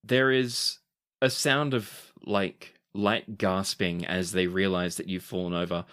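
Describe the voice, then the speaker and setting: A man running a tabletop game as game master, narrating scenes in an unhurried storytelling manner. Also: low-pitched, fairly even intonation, a bit bright, smooth. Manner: descriptive, unhurried storytelling with dramatic pleading quotes.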